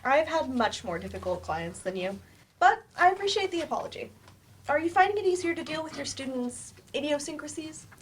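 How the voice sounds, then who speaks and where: Woman acting a high-voiced, supportive therapist. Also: big pitch swings, a little fast. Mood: measured, supportive. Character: measured, supportive female doctor, a therapist. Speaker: actor in a staged play reading. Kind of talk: stage play reading. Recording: noisy room at live staged reading.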